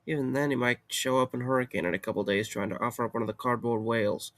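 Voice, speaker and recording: A man's voice: medium pitch, quick pace, a bit bright, natural voice. A man acting in an audio drama as a weary, wry young man with a casual, sardonic, rambling way of talking. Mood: exasperated.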